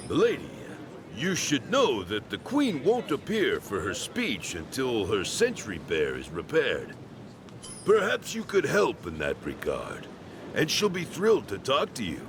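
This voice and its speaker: A very animated, courteous townsman. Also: medium pitch, measured pace, background noise. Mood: helpful, polite. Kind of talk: video game character dialogue.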